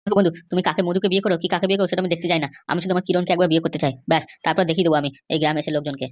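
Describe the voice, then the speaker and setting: A woman's voice: on the low side, fairly even intonation, no room sound, lightly acted. A woman voicing a scheming, pleading young runaway man in a comedy cartoon, with a pushy, wheedling manner. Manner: wheedling, pushy, fast-talking.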